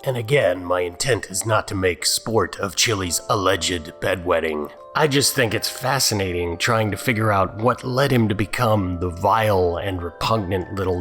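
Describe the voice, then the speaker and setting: Low-voiced sardonic man giving commentary. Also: a bit bright. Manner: sardonic, scornful. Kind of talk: scripted mocking commentary. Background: music.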